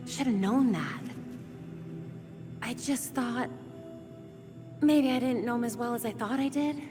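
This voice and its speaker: A woman's voice: on the high side, measured pace, close to an everyday voice. A woman playing an earnest young female vigilante in a video game cutscene. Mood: regretful. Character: earnest young woman vigilante, self-critical computer expert. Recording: reverberant hall, music behind.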